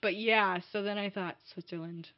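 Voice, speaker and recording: An animated young woman tells stories in a casual chat, laughing often. Her voice sits in a middle pitch, and the recording is dull and dry, with no room echo. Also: moderate pace. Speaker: guest. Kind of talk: casual conversation. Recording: dull, dry, muffled sound.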